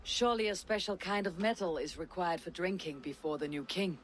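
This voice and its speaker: An actor playing a proud, cocky Viking raider in a video game, confident and drily taunting. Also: male voice, high-pitched, fairly quick, fairly bright tone. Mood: dry confidence. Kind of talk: video game character acting. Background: ambient noise.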